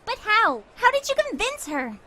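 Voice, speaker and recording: A woman voicing an excitable, squeamish high school girl in an amateur anime fan dub. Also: moderate pace, noisy background. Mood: excited. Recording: music behind the voice.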